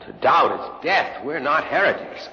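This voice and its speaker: An actor playing an earnest young scientist cadet, formal and deferential, in an old-time radio drama. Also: male voice, a little high, sing-song, unhurried. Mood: formal, respectful. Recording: muffled, hissy old radio recording.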